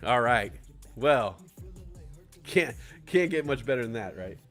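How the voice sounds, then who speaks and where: A man's voice: animated, slow, faint music behind. Profane, rambling young male streamer. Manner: profane, rambling, jokey, swings from low mumbles to loud shouts. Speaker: livestream host. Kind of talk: casual live stream chat.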